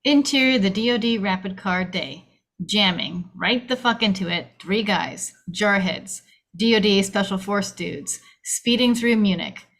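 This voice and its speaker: A woman narrates the action of a screenplay. Her voice is of average female pitch. She reads stage directions dramatically, in short, punchy, clipped phrases. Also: a little slow, a bit bright.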